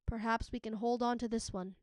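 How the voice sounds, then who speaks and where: Woman reading as a measured, forgiving mythical husband, high-voiced. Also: steady tone, dry recording, studio quality, natural voice. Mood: dismayed. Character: forgiving, resigned male titan husband of myth.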